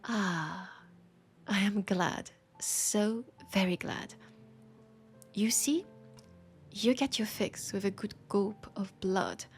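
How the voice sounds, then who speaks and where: Romantic bookseller for an intimate audio roleplay, played by a woman: French accent, unhurried breathy delivery, tender and teasing, music underneath. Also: mid-range voice. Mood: fond, playful. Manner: slow, breathy, whispery, tender and playful. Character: romantic, teasing female bookseller fond of a vampire customer. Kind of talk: soft intimate roleplay audio with poetic reading. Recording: music behind the voice.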